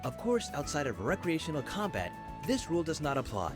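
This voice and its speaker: A man playing a formal, instructive professor in a video game, lecturing in a measured, articulate way. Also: a little high, very animated. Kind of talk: scripted game voice acting, classroom lecture. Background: game music.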